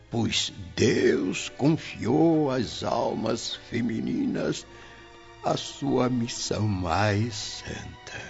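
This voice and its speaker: A man acting a compassionate, solemn elderly spiritual father in a radio drama, with a gravelly voice and a preaching delivery. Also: fairly low pitch, heavily acted. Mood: gentle, solemn. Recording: dull lo-fi audio with music.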